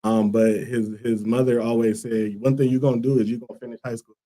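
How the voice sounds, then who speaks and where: A man's voice: medium pitch, level tone, fairly quick, mellow. Talk show guest: a man from Liberia who speaks earnestly and thoughtfully, using plenty of "like" and "um". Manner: earnest, thoughtful, many fillers like "like" and "um". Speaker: guest, school co-founder. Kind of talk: casual interview answers.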